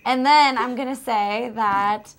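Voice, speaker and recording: A woman's voice: on the high side, slow pace, clear, resonant tone. A young woman who is losing her voice, chatting casually as a sports show analyst. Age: young adult. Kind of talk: casual panel chat.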